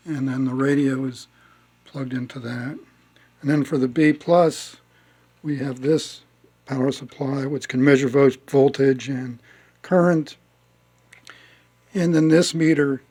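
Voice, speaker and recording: A man giving a casual step-by-step demonstration as a hobbyist, speaking haltingly with pauses as he works. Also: mid-range voice, a little mellow, slightly rough. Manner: halting, pausing mid-sentence as he works. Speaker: hobbyist restoring a vintage radio.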